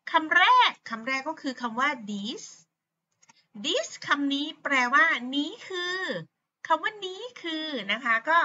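Middle-aged Thai-accented woman teacher, bright, slightly high voice, patient and repetitive, lo-fi recording. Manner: patient, repeats words slowly, many fillers. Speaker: English teacher. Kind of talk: teaching vocabulary to pupils. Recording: dull lo-fi sound.

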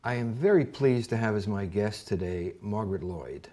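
An older man hosts a studio interview, his voice sitting somewhat low. He asks his questions in a halting way, pausing and restarting as he searches for words. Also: animated, dark tone. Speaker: host. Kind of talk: community TV interview. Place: dark television studio.

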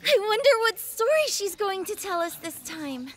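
Very high-pitched bossy little kid. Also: female voice, big pitch swings, normal speed, a bit bright, full character voice. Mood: curious. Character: bossy, excitable young child eager for a bedtime story. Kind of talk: fantasy audio drama acting. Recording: music under the voice.

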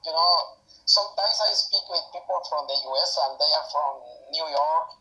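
Bright-voiced Guatemalan man with a Spanish accent. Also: fairly high pitch, moderate pace, rough recording. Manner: earnest, hesitant with many fillers. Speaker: guest. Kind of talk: casual chat on a video call. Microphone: video call.

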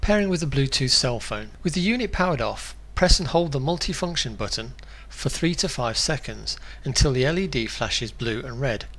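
A man reading a scripted instructional product guide in a clear, step-by-step way. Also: medium pitch, animated, normal speed, few pauses, fairly bright tone.

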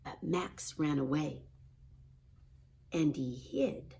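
A middle-aged woman, a kindergarten teacher, reading a picture book and chatting to children in an expressive style. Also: low-pitched, extremely slow, creaky. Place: small classroom, indoors.

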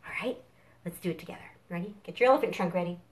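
A middle-aged woman leading an interactive storytime for young children, speaking in an animated, encouraging way with simple instructions. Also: fairly low pitch, racing. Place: home kitchen, indoors. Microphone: camera a few feet away.

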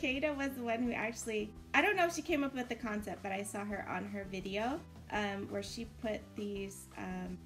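A woman's voice: medium pitch, moderate pace, few pauses, a bit bright, soft background music. A woman giving casual, encouraging commentary as host of a game build tour.

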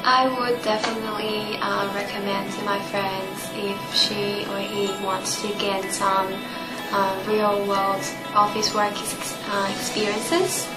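A woman's voice: mid-range voice, little pitch movement, slow pace. A woman in her twenties with a Taiwanese accent, giving a careful, slightly hesitant testimonial as an intern. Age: 20s. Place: small office room indoors. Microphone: camera mic at short distance. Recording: roomy office with background music.

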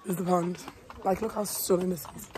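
A woman in her twenties, hoarse after losing her voice, giving a casual vlog tour of a house while filming herself on a phone held at arm's length. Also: fairly low pitch, steady tone, normal speed. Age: 20s. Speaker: vlogger. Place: large house and garden, indoors and outdoors. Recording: phone audio with clear background noise.

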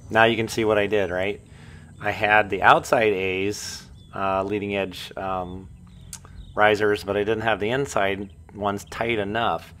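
Rambling man with a cold, low voice. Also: little pitch movement, a little slow, fairly bright tone. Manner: rambling, self-deprecating, many ums and uhs. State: has a cold. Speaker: hobby paraglider vlogger. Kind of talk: casual voice-over commentary. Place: outdoors in an open field.

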